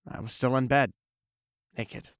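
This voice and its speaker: Audio drama lead: male actor as regretful, lovesick ex, earnest and halting, pleading delivery, dry close recording. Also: mid-range voice, fairly even intonation, no echo, close to an everyday voice. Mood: confessional. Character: remorseful, lovesick cheating ex-boyfriend, pleading earnestly.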